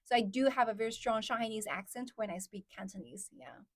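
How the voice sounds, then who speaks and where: A woman from mainland China, speaking English, hosts a casual live stream and chats with her viewers. Her voice sits a little high. The sound is dry and close, with almost no room echo. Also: brisk. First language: Mandarin. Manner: chatty, laughs often, answers chat comments.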